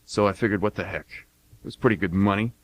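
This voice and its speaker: An actor playing a blunt, cocky American mercenary war veteran, talking in a folksy, plain-spoken way in a radio play monologue. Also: male voice, fairly low pitch, fast talker, very noisy, lightly acted. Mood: matter-of-fact, boastful.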